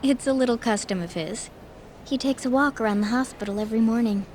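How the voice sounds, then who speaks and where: An actress playing a polite, earnest, reserved researcher in an English video game dub, measured and formal. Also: female voice, on the high side, a little mellow, a little hiss or hum, lightly acted. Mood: earnest, admiring.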